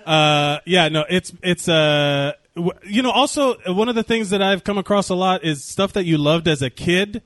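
High-voiced man, dry casual podcast chat. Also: animated, normal speed, hardly any pauses, a bit bright, dry recording. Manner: rambling, profane, lots of fillers and restarts. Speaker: podcast co-host.

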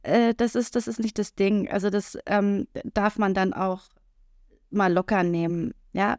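A woman's voice: medium pitch, darkish tone, no echo, clean studio sound. A woman speaking frankly and chattily on a casual podcast, with many fillers. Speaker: podcast co-host.

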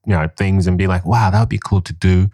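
A man's voice: sits low, steady tone, fast talker, warm tone, no room sound, studio quality. A young man from Liberia, raised in Guinea, telling stories in a rambling, casual podcast chat with lots of fillers. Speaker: podcast guest.

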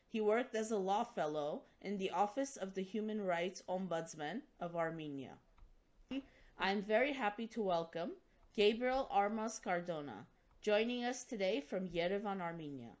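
A woman hosts the interview at a measured, formal pace. She reads a prepared introduction in an even, mid-pitched voice before turning to her questions. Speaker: host.

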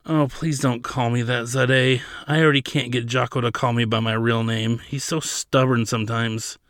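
A man's voice: mid-range voice, flat delivery, moderate pace, fairly bright tone, no room sound, studio quality, natural voice. A man voicing a stubborn, sulky eighteen-year-old prince with petulant protests, as in an audiobook. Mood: mildly exasperated. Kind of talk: audiobook character dialogue.

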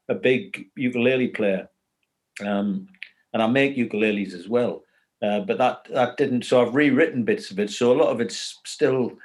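Rambling, halting man. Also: medium pitch. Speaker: writer of the show. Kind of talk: casual reunion chat.

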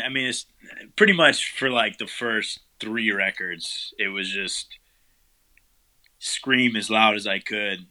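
A man's voice: fairly low pitch, low-quality recording. A man, the guest vocalist, answering interview questions casually, with lots of fillers and loose rambling. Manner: relaxed, rambling, many fillers.

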